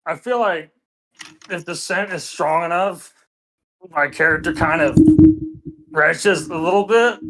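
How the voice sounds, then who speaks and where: A woman's voice: lowish voice, unhurried, pauses often, a bit bright, low-quality recording. A woman chatting casually as a player in a tabletop roleplaying game. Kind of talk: casual chat while roleplaying.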